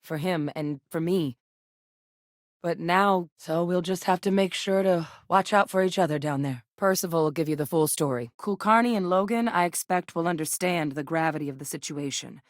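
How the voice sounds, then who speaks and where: A woman voicing a low, earnest ex-soldier. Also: dry recording, clean studio sound, close to an everyday voice. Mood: steady. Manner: measured, earnest, matter-of-fact. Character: composed female ex-soldier scientist, duty-bound. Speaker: companion character in a video game. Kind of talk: recorded game voice lines. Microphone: close studio microphone.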